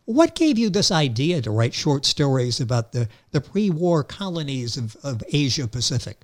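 A man's voice: fairly high pitch, very animated, moderate pace, a little mellow. A male radio host introducing and questioning his guest with effusive praise. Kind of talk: radio interview.

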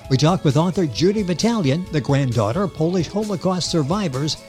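A middle-aged man hosts a radio talk show, leading into an interview with emphatic, energetic phrasing. His voice sits a little high for a man. Faint music plays underneath. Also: a little fast.

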